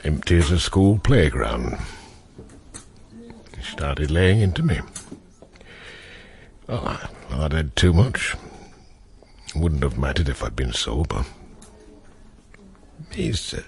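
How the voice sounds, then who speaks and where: A battered, weary working bloke in a radio play. His voice is extremely low and full of creak, slow and halting, with a bitter edge. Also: very animated, heavily acted. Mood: shaken, weary. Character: weary, battered, shaken working-class man recounting an attack. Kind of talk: radio drama acting.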